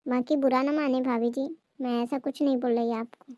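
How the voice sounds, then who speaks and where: A woman's voice: high voice, steady tone, normal speed, dark tone, no room sound, lightly acted. A woman playing a nosy, blunt young female relative, prying in a family comedy skit.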